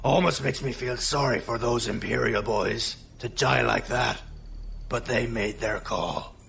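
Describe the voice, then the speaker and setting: A man playing a gruff, wry veteran crew boss in a space audio drama, with a gravelly, folksy way of talking. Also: mid-range voice, measured pace, a bit bright, a little hiss or hum. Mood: admiring, reflective.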